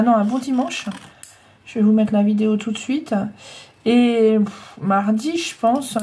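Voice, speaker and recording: A woman's voice: a little high, dark tone. A middle-aged woman with a cold and a blocked nose, chatting casually to viewers about her scrapbooking, hesitant and full of fillers. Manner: hesitant, many fillers and restarts. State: congested with a cold, breathing heavily. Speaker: scrapbooking vlogger. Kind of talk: casual rambling chat to viewers. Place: home craft room.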